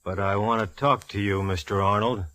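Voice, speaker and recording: Blunt, lowish-voiced police lieutenant, played by an actor. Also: male voice, steady tone, moderate pace, a bit bright, lightly acted. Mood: awkward, curt. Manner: blunt, bossy. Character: gruff, curt male police lieutenant. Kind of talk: 1940s radio drama acting.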